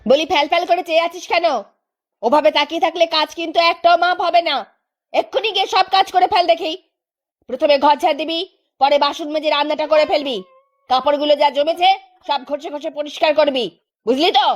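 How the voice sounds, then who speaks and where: A woman's voice: high-pitched, steady tone, a little fast, close to an everyday voice. A woman voicing a cruel, bossy village stepmother in a melodramatic cartoon, scolding and taunting harshly.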